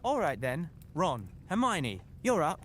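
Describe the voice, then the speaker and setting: A man as an earnest teenage boy wizard in an audio drama, leading his classmates. His voice is fairly high and very animated. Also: a little slow, a little hiss or hum, full character voice. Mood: brisk, leading.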